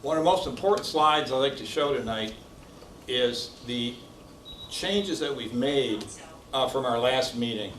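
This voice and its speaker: A man's voice: mid-range voice, animated, measured pace, a bit bright, a little hiss or hum. A man presenting architecture slides at a township meeting in a businesslike way, with frequent fillers. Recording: echoey room, distant mic.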